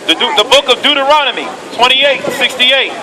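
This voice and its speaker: A man reading Bible verses aloud outdoors, slow and measured, for a street preacher. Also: extremely high pitch, animated, a crowd in the background, background noise. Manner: slow, measured reading of old scripture wording. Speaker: scripture reader.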